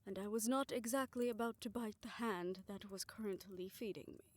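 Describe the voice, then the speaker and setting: A woman playing a remorseful scientist in an intimate audio drama, speaking softly and haltingly as if confessing. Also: mid-range voice, close to an everyday voice. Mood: guilty, bitter. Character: remorseful female scientist haunted by her past experiments. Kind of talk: soft, intimate audio roleplay acting.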